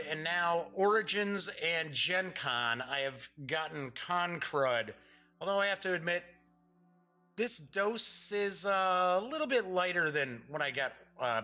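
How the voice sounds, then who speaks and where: A man hosting a casual board game news show, chatty and upbeat, his voice a bit congested and raspy. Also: on the high side, bright, forceful tone. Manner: chatty, upbeat, reads press releases aloud.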